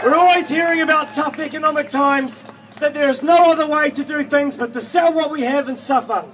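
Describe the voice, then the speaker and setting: A young man gives an earnest political speech in a very high, projected voice. He sounds distant from the microphone, outdoors with faint noise, and stresses his points emphatically. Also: steady tone, fairly quick, crowd noise. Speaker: student movement speaker, postgraduate student. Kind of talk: prepared political rally speech. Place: outdoors at a street march.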